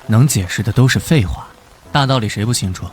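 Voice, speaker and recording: Man playing an earnest, expressive police detective. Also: fairly low pitch, brisk, close to an everyday voice. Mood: earnest, resolute. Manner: conciliatory, earnest. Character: earnest, good-natured male police detective, easily flustered. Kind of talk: animated drama voice acting.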